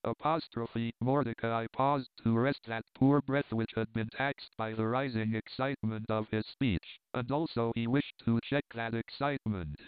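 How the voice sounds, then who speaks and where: A man reads a classic novel aloud in a measured, steady way. His voice is exceptionally clear and smooth. The sound is dry and squeezed into a narrow, phone-like band. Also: medium pitch, no room sound. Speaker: audiobook narrator. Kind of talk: scripted narration of a classic novel.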